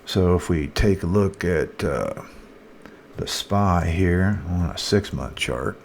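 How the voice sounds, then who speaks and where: Very deep-voiced man, halting market commentary. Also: expressive, creaky. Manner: halting, thinking aloud. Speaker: market analyst presenter. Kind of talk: casual unscripted chart commentary. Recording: noticeable background noise.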